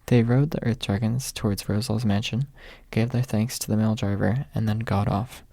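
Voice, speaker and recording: A man's voice: on the low side, fairly even intonation, moderate pace, gravelly, dark tone, no echo. A man reading a story aloud as an ASMR audiobook narrator, close to the microphone.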